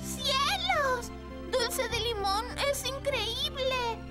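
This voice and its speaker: An actress playing a sweet, curious, friendly little girl in a children's cartoon dub, speaking in an expressive, exclamatory way. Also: very high-pitched, very slow, heavily acted. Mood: impressed. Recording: music bed under the dialogue.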